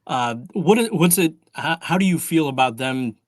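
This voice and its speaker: A man's voice: fairly high pitch, lively intonation, normal speed, no room sound, clean studio sound. A man in his forties hosting a podcast, chatty and joking, asking questions in fits and starts. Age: 40s. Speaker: podcast host. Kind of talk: casual interview.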